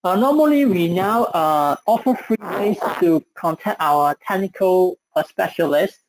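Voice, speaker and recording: A man with a Chinese accent presenting software in a webinar, hesitant with frequent fillers. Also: high-pitched, animated, unhurried, slight background noise, poor audio.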